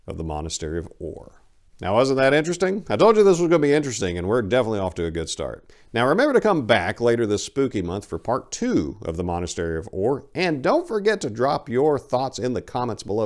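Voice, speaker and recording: A man narrating as a storyteller, with a measured and expressive dramatic delivery. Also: fairly low pitch.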